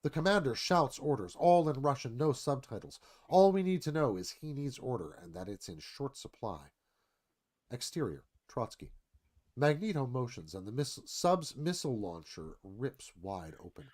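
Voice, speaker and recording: A man's voice: mid-range voice, sing-song, moderate pace, darkish tone. A man narrating stage directions in a dramatic, storytelling screenplay read.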